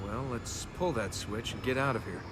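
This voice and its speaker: A man's voice: medium pitch, normal speed, close to an everyday voice. An actor playing a flippant, wisecracking young male adventurer in a video game, with an American accent. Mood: wry, sarcastic. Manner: flippant, sarcastic. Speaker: video game lead character. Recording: game audio over loud ambient noise.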